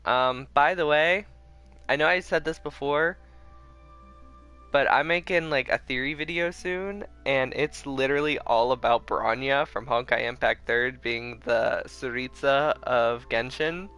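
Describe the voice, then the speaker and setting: A young man giving casual, chatty commentary as a game streamer, quick to giggle. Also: mid-range voice, bright, forceful tone, smooth, soft background music.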